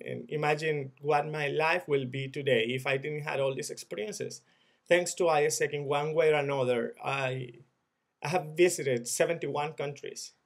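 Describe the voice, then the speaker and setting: Venezuelan man, 30s, fairly high voice; reflective video message, earnest, restarts often. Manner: earnest, reflective, with frequent repeated words and restarts. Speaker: former student-organization leader sharing experience.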